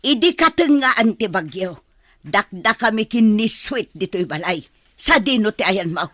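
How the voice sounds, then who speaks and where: A woman's voice: medium pitch, expressive, unhurried, a bit bright, dry recording, clean studio sound. A woman acting a nagging, fed-up village mother with an Ilocano accent in a radio comedy drama. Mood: scolding.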